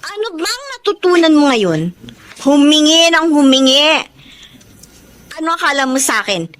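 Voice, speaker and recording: Actress as an exhausted high-voiced breadwinner daughter. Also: female voice, big pitch swings, normal speed, fairly bright tone, slight background noise. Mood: scolding. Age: young adult. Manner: scolding, tearful, pleading. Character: weary young woman, family breadwinner. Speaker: daughter who supports her family. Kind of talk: TV-style family drama acting.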